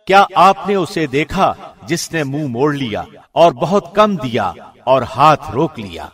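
A man reading a scripture translation from a script, in a formal, measured radio presenter's delivery. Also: fairly high pitch, sing-song, a bit bright.

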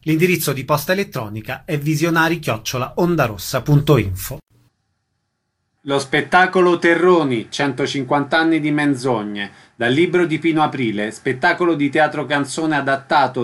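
Male radio announcer, mid-pitched. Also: moderate pace, a bit bright. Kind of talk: scripted announcement.

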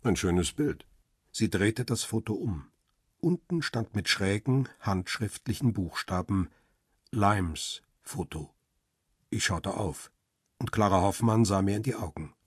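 An actor playing a reflective paparazzo who tells his own crime story in a measured, introspective way, with a rough, husky voice. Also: lowish voice, no room sound, clean studio sound, exaggerated voice. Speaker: narrator and lead character in a radio crime drama. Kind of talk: first-person radio drama narration.

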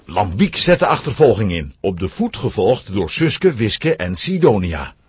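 A man's voice: fairly low pitch, very animated, measured pace, slightly rough. A man narrating a scripted children's story.

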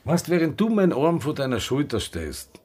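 Husky, defiant older Austrian bar owner. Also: male voice, a little high, very animated, moderate pace, darkish tone. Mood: bitter, weary. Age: 60s. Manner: dialect-tinged, impassioned, reflective. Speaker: lead actor and narrator. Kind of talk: radio drama acting and first-person narration.

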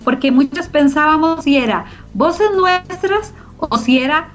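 A woman's voice: high voice, expressive, measured pace, highs cut off, faint music behind. A middle-aged woman, giving reflective, explanatory answers as an interview guest. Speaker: interview guest, organization founder.

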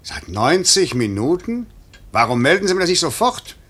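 An actor playing a stern, demanding middle-aged airline director in a 1950s German radio drama, giving clipped commands and impatient rebukes. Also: male voice, on the high side, sing-song, moderate pace, a bit bright, breathy edge, heavily acted. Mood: urgent, impatient. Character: stern, demanding airline director, gruff but secretly fond. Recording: slightly muffled 1950s radio recording.

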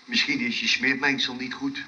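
An actor playing an earnest, pedantic engineer in a comic radio play, insistent but stammering and hesitant. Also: male voice, mid-range voice, flat delivery, normal speed, sharp tone. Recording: dull, faintly noisy radio recording.

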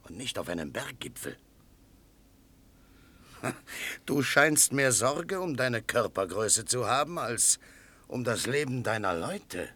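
An actor playing a wary, sardonic Lakota chief in a German radio play, with a husky voice and a dry, mocking manner. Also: male voice, mid-range voice, sing-song, slow, pauses often, pushed and bright, heavily acted.

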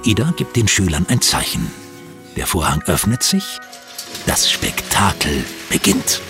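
A man narrating a children's audio drama in an expressive, suspenseful storytelling style. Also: sits low, a little slow, over music.

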